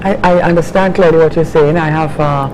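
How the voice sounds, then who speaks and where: A man with a fairly high voice who asks questions in a relaxed, conversational way. Clear noise sits behind him. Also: fairly quick. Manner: conversational, with little stumbles. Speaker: interviewer. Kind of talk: interview. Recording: noisy background.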